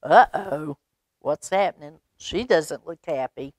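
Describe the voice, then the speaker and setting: A woman voicing a gentle, earnest male dog who fusses protectively in a children's storybook, with expressive delivery. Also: deep voice, takes it slowly, no echo, clean studio sound. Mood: worried.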